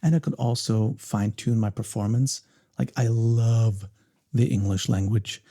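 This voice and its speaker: Low, gravelly, soft-spoken man. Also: steady tone, measured pace. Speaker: voice actor and ASMR creator. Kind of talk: soft-spoken behind-the-scenes commentary.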